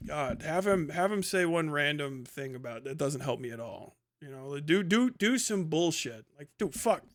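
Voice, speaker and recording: A man with a slightly high voice talks off the cuff as a livestream host. His commentary is casual and rambling, full of slang and swearing. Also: lively intonation, moderate pace.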